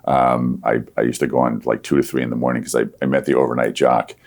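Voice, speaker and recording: Male, 60s, bottomless bass with heavy fry. Easygoing storyteller, casual interview guest. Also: level tone, quick pace, darkish tone. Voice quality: very deep radio voice. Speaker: interview guest, veteran themed entertainment creative. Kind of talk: casual reminiscing in a live interview.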